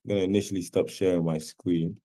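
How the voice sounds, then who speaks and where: A man teaches an online class in a low, mellow voice. He explains things in a casual, conversational way and keeps checking in with his listeners. He is speaking over a video call. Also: fairly even intonation, fast talker. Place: video call with screen sharing.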